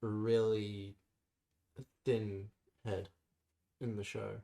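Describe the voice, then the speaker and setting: A man's voice: lowish voice, flat delivery, extremely slow, creaky, warm tone. A man co-hosting a podcast, giving opinions in informal, slangy casual chat. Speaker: podcast co-host.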